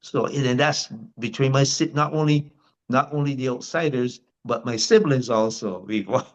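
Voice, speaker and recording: A man's voice: mid-range voice, moderate pace. Older man, Barbadian accent, interview guest, rambling, many restarts, muffled lo-fi sound. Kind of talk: casual life-story interview.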